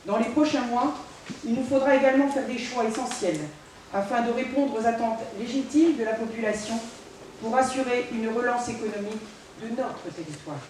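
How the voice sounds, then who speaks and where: High-voiced Frenchwoman speechmaking in an echoey hall. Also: normal speed, a little mellow. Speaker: local politician, intercommunal council president. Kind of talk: formal prepared political speech read aloud. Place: large village hall, indoors. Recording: very echoey hall, distant mic, faint noise.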